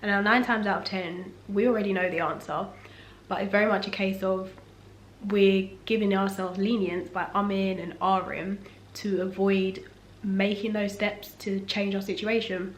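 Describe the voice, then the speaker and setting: A young woman with a husky voice from a cough, talking in a relaxed, reflective sit-down vlog at home. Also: medium pitch, normal speed, slight background noise. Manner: relaxed, reflective and faith-focused. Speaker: vlogger. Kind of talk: casual sit-down talk. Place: room at home. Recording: echoey room, faint ambience.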